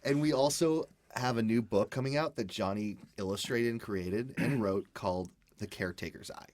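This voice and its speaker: A man's voice: on the low side, moderate pace, darkish tone, no room sound. A man hosting a podcast, casually promoting merchandise and sponsors. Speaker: podcast host. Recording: faint background noise.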